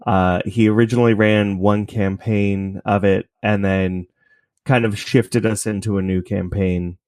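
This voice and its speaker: Male podcast guest, low pitch, casual conversational answers, dry recording. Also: fairly even intonation, unhurried, darkish tone, no echo. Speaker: interview guest, podcast creator.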